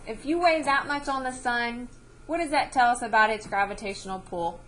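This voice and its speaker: A woman's voice: a little high, normal speed, fairly bright tone, a little hiss or hum, low-quality recording. A woman teacher reading a science book aloud to children, explaining as she goes and checking understanding with short questions.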